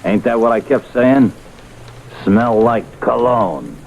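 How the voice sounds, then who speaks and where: A man's voice: mid-range voice, lively intonation, takes it slowly, vocal fry, low-quality recording. An actor playing a blunt, plain-spoken working man in a vintage radio drama, with colloquial speech. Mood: matter-of-fact. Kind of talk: 1970s radio drama acting. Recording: vintage radio recording, faint noise.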